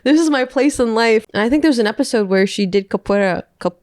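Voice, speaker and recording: A woman from New York City chatting casually as a podcast guest, rambling, laughing often and letting her sentences trail off softly. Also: a little high, quick pace, no echo, clean studio sound. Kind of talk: casual chat.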